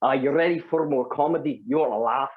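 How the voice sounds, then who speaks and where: A man's voice: medium pitch, a little fast, phone-quality sound, lightly acted. A man doing a brash show host. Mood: announcing. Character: brash Scottish male comedy show host.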